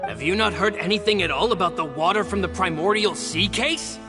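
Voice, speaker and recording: A steady guild officer with a fairly high voice, calm and measured as he explains. Game music sounds clearly behind him. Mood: questioning. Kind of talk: game cutscene voice acting.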